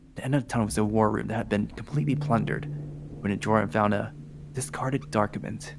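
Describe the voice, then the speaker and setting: Low, creaky-voiced man reading game narration. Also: steady tone, fast, noisy background. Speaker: host reading a game aloud with a group.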